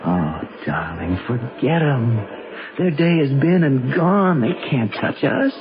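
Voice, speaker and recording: A man's voice: mid-range voice, big pitch swings, takes it slowly, noisy background. An actor playing an earnest, defiant young man in love, urgent and insistent, in a seventies radio drama. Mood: reassuring, determined. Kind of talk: 1970s radio drama acting. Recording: dull vintage radio recording.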